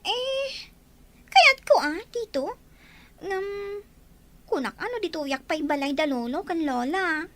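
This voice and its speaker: A woman acting a gentle, hurt young village woman in an audio drama, with an Ilocano accent. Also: very high voice, lively intonation, unhurried, long pauses, very noisy. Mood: shy, grateful.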